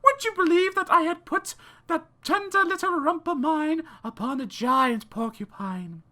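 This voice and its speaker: A woman's voice: sits high, measured pace, pushed and bright. A British woman narrating children's verse as an audiobook reader, theatrical, dramatic and precise.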